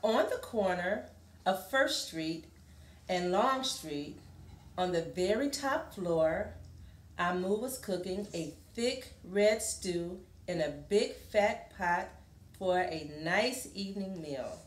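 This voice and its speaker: A woman reading a children's story aloud expressively, pausing to put questions to her listeners. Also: fairly low pitch, expressive. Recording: roomy, echoey sound.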